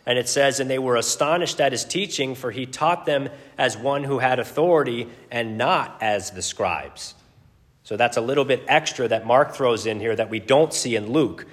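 A man with a deep, booming voice, teaching a Bible study in an informal, conversational, self-deprecating way with halting pauses and casual asides. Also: a bit bright. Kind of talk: informal expository sermon. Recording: long echoing hall reverb.

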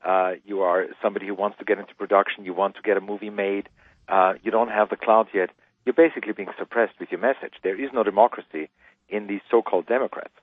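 A man's voice: on the low side, fairly even intonation, fast talker, slightly rough, no echo. Man on a tinny phone line. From Austria. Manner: emphatic, preaching conviction. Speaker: guest author. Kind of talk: radio interview.

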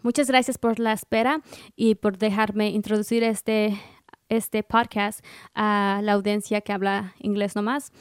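Casting: Mexican-accented woman in her thirties, upbeat podcast host, smooth voice, dry close mic. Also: medium pitch, no room sound. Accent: Mexican Spanish. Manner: upbeat, encouraging, chatty with laughs. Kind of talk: solo podcast intro.

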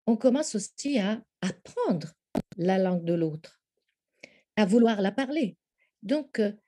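Slow, mellow woman speaking French. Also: mid-range voice. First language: Italian. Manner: measured and thoughtful, with frequent hesitations. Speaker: interview guest, language teaching specialist. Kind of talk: explanatory interview answer.

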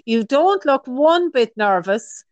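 A woman hosts a friendly interview in a fairly high voice. She reacts eagerly and keeps encouraging her guest. The recording is dry and close. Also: animated, takes it slowly, no room sound, muffled recording. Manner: enthusiastic, encouraging, exclaims often. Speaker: interview host. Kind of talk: casual interview chat.

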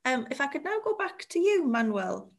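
Woman host on a call, slightly high-pitched, polite and appreciative. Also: very animated, normal speed. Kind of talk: moderating a video call discussion.

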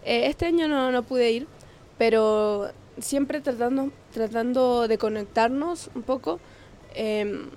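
A young woman from Ecuador talks casually as an interview guest. Her voice sits a little high, and she speaks hesitantly, often pausing on fillers before going on, with quick laughs along the way. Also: a little mellow, a little hiss or hum. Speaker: guest, singer.

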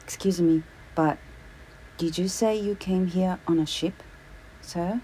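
A caring little boy, eager and earnest, pressing with his questions, as a woman reads him in a storybook. The voice is low and mellow, and the pace is slow. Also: slight background noise, rough recording.

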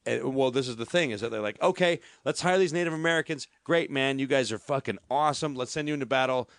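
A man chatting casually on a podcast, telling rambling stories with many restarts and animated asides. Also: mid-range voice, quick pace, no room sound, clean studio sound.

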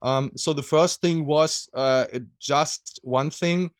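A man's voice: fairly high pitch, slow, no room sound, clean studio sound. A middle-aged man with a German accent, a water polo coach talking in a casual interview, hesitant with many fillers and restarts.

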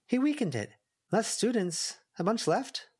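Man voicing a higher-pitched, inquisitive teenage schoolgirl. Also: very animated, a little slow, breathy edge, heavily acted. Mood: probing, curious. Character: curious teenage schoolgirl, probing and eager. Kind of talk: audiobook character dialogue.

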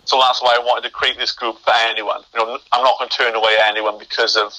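A young man with a very bright, sharp voice talks as a podcast guest. He stammers, restarting and blocking on words, and the audio comes through a remote call. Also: mid-range voice, level tone, quick pace, low-quality recording. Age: young adult. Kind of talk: interview conversation.

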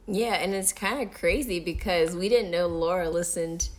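A woman's voice: fairly low pitch, moderate pace. A woman co-hosting a casual podcast, chatty and upbeat, with plenty of fillers. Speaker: podcast co-host. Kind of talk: casual chat.

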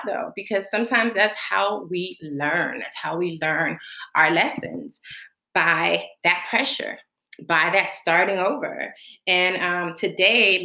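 A woman from the Bahamas who grew up in Florida, hosting a chatty solo podcast with slow, deliberate spoken affirmations and a colloquial way of talking. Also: lowish voice, pushed and bright, echoey room, low-quality recording. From born in the Bahamas, raised in Florida. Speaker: podcast host. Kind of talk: casual monologue with spoken affirmations.

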